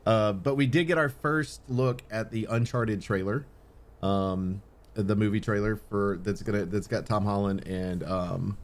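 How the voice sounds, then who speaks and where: A man hosting a podcast in casual chat, with an easygoing, halting way of talking. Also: lowish voice, steady tone. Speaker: podcast host. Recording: faint background noise.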